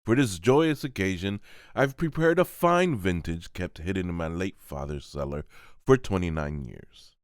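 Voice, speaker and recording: A man voicing a courteous young nobleman in an amateur manga voice-over, with measured, formal phrasing. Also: low voice, expressive, dry recording, studio quality. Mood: gracious.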